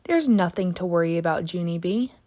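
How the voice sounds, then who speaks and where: A woman voicing a kindly, reassuring father in a children's storybook, gentle and encouraging. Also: fairly low pitch, expressive, brisk, lightly acted. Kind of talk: children's storybook read-aloud.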